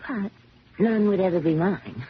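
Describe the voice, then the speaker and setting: A woman's voice: medium pitch, lively intonation, measured pace, husky. Earnest, shy, proper young woman. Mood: reserved, candid. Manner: formal, proper, hesitant. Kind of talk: 1970s radio drama acting. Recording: dull, noisy 1970s radio broadcast recording.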